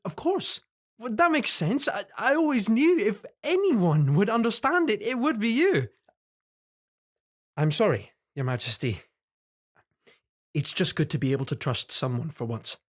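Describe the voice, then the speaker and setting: A man's voice: sits high, sing-song, a little slow, long pauses, exaggerated voice. An actor in an audio drama playing a guarded, earnest young man who speaks politely and hesitantly to his superior. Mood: relieved, confiding. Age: young adult.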